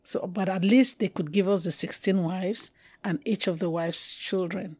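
A woman's voice: lowish voice, steady tone, a little mellow, husky, dry recording. Reflective Cameroonian woman, close dry sound. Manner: reflective, halting, with restarts and slow emphatic stretches. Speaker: guest poet. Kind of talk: conversational interview.

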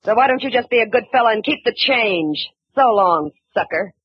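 A woman's voice: on the high side, animated, normal speed, a little hiss or hum, close to an everyday voice. An actress playing a scheming woman who double-crosses a detective, evasive and coolly mocking, in a 1940s radio drama recording. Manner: evasive, then cold and mocking. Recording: dull old radio recording.

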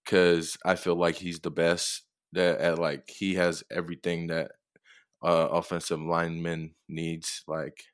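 Young man with a very deep, smooth voice. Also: no echo, clean studio sound. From Tonga. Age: young adult. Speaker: college football player, interview guest. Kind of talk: casual interview answers.

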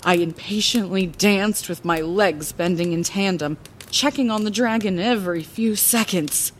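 A woman's voice: medium pitch, measured pace, a little hiss or hum, natural voice. An actress playing an older woman lighthouse keeper who reminisces in a playful, dramatic, conversational way, like bedtime fantasy storytelling in an audio drama. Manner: expressive, conversational, theatrical.

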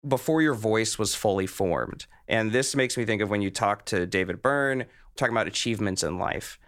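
A man's voice: lowish voice, moderate pace, a bit bright. A man chatting casually as a podcast interviewer. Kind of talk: casual chat.

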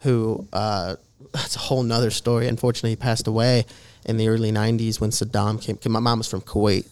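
A man's voice: lowish voice, level tone, fast talker, darkish tone, smooth. A man in his twenties from Jordan, a podcast guest telling his story in a loose, rambling way full of fillers. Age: 20s. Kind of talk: casual interview chat.

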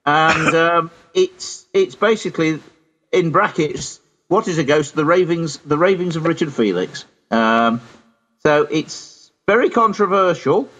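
A man's voice: on the high side, expressive, a little slow, a bit bright, slight background noise, rough recording. A British man chatting casually and chummily as co-host of a radio show, with a teasing streak.

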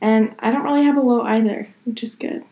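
A young woman with a somewhat high voice, co-hosting a casual podcast. She is upbeat and agreeable and keeps backing up her co-host. The recording has a roomy echo. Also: mellow, muffled recording. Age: young adult. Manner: upbeat, agreeable, quick to affirm. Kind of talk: casual chat. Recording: echoey room.

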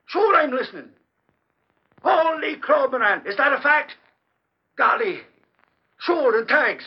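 A man playing an excitable, blustering, folksy reporter sidekick with rough grammar in a 1940s radio drama. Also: very high voice, a little slow, exaggerated voice. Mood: excited, earnest. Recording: dull old radio broadcast recording.

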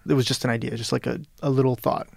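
A man's voice: medium pitch, flat delivery, fast, gravelly, dry recording, studio quality. A man from Peru talking as an interview guest, rambling with many restarts and "you know". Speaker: interview guest, novelist and radio producer. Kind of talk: casual interview conversation.

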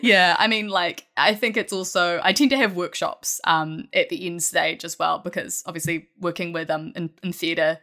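A woman talking casually as a guest writer in an interview, animated and quick to laugh. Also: lowish voice.

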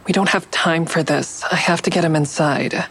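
A woman's voice: low-pitched, moderate pace, slightly rough, poor audio. An actress playing an exhausted, protective and combative woman in an audio drama. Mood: defensive. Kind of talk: full-cast audio drama acting.

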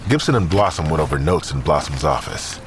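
An actor narrating a police audio drama as a measured third-person storyteller. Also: male voice, rumbling low, animated, background noise.

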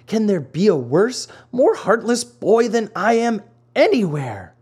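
Reader putting on a higher, pleading storybook puppet boy, remorseful and lively in tone. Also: a little slow, slightly rough, exaggerated voice. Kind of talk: storybook reading.

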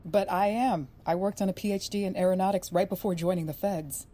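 A woman's voice: medium pitch, steady tone, fairly quick, mellow, close to an everyday voice. An actress playing a determined, insistent female federal agent pressing her boss. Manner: earnest, insistent.

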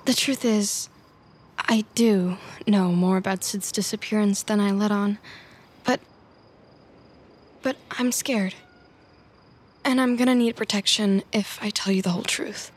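A woman's voice: mid-range voice, steady tone, measured pace, long pauses, breathy edge. An actress playing a nervy, scheming female office worker with a sly edge in an audio drama. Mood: nervous, pleading. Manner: chatty, hesitant, mild minced swearing. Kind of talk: naturalistic audio drama acting.

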